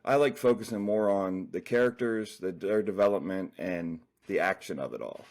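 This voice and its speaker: A man's voice: low voice, normal speed, darkish tone. A man talking as a guest author in a casual interview, rambling loosely with many fillers.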